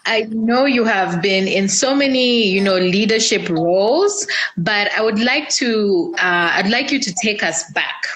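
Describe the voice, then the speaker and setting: A woman hosts a podcast and puts conversational questions to her guest, pausing on fillers. Her pitch sits in a middle range, and faint background noise can be heard. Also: expressive, a bit bright, rough recording. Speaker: podcast host. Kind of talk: interview questions.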